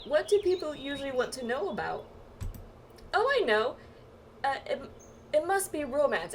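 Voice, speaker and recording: A woman playing a game with friends, reading its dialogue aloud casually and haltingly, with reactions of her own. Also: fairly high pitch, a little hiss or hum. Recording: game music behind the voice. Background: video game music.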